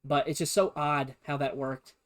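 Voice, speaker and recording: A man's voice: fairly high pitch, fairly even intonation, normal speed. A man streaming a casual, comedic history lesson, full of sarcastic and playful asides. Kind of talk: casual, comedic history lecture to a livestream audience.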